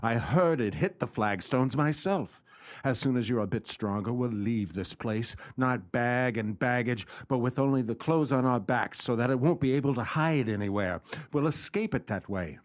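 A man acting in a radio play as a gentleman's loyal, practical companion, speaking in an emphatic, theatrical way. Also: mid-range voice, lively intonation, normal speed, natural voice. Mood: reassuring.

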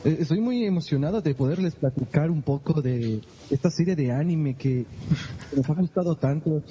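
A male podcast guest chats haltingly in a fairly high, very soft-edged voice. The recording is muffled and noisy, with a long echo. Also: expressive. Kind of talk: casual chat. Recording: dull, noisy, echoing hall.

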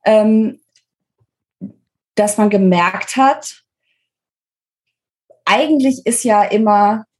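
A woman of medium pitch speaks. She explains her points as an interview guest, articulate and emphatic, while keeping the wording colloquial. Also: extremely slow, darkish tone, low-quality recording. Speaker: guest author interviewed about her book. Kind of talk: conversational podcast interview, explanatory.

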